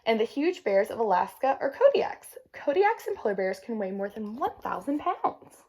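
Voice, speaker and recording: A woman's voice: on the high side, very animated, normal speed, darkish tone. A woman reading a children's nature book aloud, with casual teacherly asides to young listeners. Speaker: read-aloud presenter.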